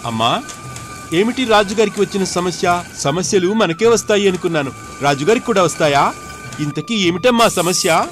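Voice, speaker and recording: High-voiced, eager mischievous boy. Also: lively intonation, normal speed, a bit bright, a little hiss or hum. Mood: impatient, curious. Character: mischievous, idle young son pestering his parents. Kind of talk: animated moral story dialogue.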